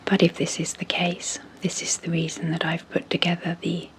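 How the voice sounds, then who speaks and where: A woman speaking in a breathy half-whisper as an ASMR host, slowly and gently, with long pauses, introducing sleep hypnosis. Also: lowish voice, monotone, rough recording.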